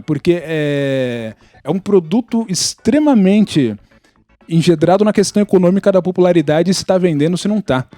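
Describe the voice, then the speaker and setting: A Brazilian man talks casually on a podcast, explaining his views in an opinionated way. His voice sits a little high yet stays soft and dark in tone. The sound is very dry, with no room around it. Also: no room sound. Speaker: podcast participant.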